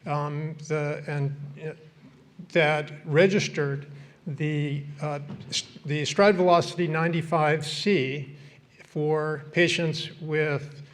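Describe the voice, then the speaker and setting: Guy chairing a session, bit high-pitched, stumbling intro. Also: flat delivery. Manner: halting, many fillers. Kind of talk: introducing a speaker.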